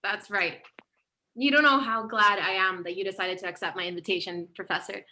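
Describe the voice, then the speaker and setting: A woman's voice: mid-range voice, steady tone, fairly quick, sharp tone. An actress playing a nervous young female author at a play table read, flustered and stammering.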